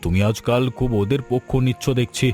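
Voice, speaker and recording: A man's voice: mid-range voice, fairly quick, a little mellow, lightly acted. A man voicing a proud, snobbish, wealthy village landowner in a cartoon. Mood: haughty. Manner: haughty. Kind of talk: Bengali cartoon dialogue. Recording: music behind the voice.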